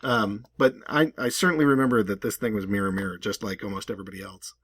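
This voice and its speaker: A man's voice: lowish voice, steady tone, quick pace, fairly bright tone, dry recording. Casual rambling man, dry close recording. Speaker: video host. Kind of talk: casual commentary.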